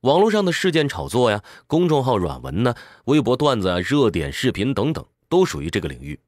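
A man's voice: medium pitch, wildly swooping pitch, fairly quick, no echo, clean studio sound. A man narrates a scripted explainer in a didactic way, posing questions and answering them. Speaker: audiobook summary narrator.

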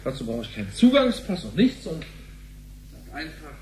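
A man's voice: on the high side, huge pitch swings, normal speed, slight background noise, poor audio. A man playing a hesitant, aggrieved husband in a sci-fi radio drama, conversational and defensive.

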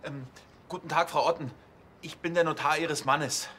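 A man's voice: a little high, fairly even intonation, moderate pace, sharp tone, slight background noise. An actor playing a policeman who poses as a notary, speaking formally and hesitantly in a TV drama. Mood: nervous, formal.

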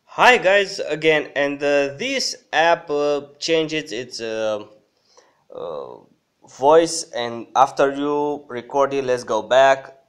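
Slow-talking man walking through an app. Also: medium pitch, expressive, fairly bright tone, clear, resonant tone. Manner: halting, hesitant. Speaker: app review host. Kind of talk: casual screen-recorded app tutorial.

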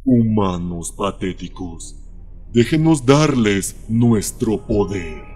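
A man's voice: fairly low pitch, expressive, slow pace. A man voicing an arrogant, sinister cult overlord in a fan-dubbed video game, gloating and grandiose. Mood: gloating, menacing. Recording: loud music under the voice.

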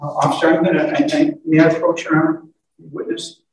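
A man speaking as a defense attorney in court, questioning formally and a little haltingly. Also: on the high side, level tone. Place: courtroom, picked up from afar. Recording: very echoey courtroom, distant mic, faint noise.

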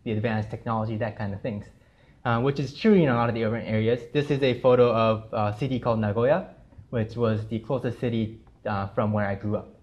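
A man's voice: fairly low pitch, fairly quick, a little mellow, highs cut off. A young man from Japan, whose first language is Japanese, giving a casual, chatty talk about his art to students in a lecture room, full of friendly fillers. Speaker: artist giving a gallery lecture. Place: university lecture room with projector screen.

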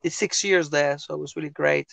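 Middle-aged guy from south america, slightly high voice, dry close webcam sound. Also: steady tone, brisk, creaky, a bit bright, dry recording. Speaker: guest clown. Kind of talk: casual interview chat. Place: home room with tiled wall. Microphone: webcam on video call.

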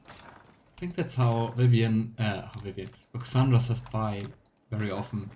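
Low-voiced man musing over a game. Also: fairly even intonation, measured pace, warm tone. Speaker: game streamer. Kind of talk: let's play commentary, reading game text aloud. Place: small room indoors at home. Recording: clear background noise, game ambience.

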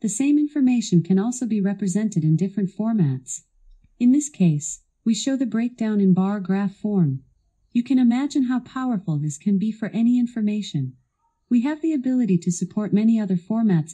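A woman's voice assistant delivering scripted product demo narration in a polished, instructional, clearly enunciated way. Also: medium pitch, expressive, moderate pace, warm tone.

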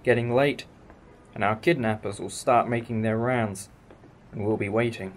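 A man's voice: on the low side, fairly even intonation, moderate pace, natural voice. A man playing a wry, old-fashioned time-travelling alien leader in a fan audio drama, explaining things with some hesitation. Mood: planning calmly. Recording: amateur recording with clear background noise.

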